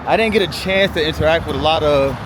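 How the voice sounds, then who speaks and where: A male travel vlogger talks as he walks through town, his voice sitting a little high for a man. He rambles in a loose, chatty way, full of "like" and "um". Street noise and passing traffic come through clearly on his handheld recording. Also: fast. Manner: rambling, chatty, many "like" and "um" fillers. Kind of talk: casual walking travel vlog. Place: outdoors on quiet city streets, walking.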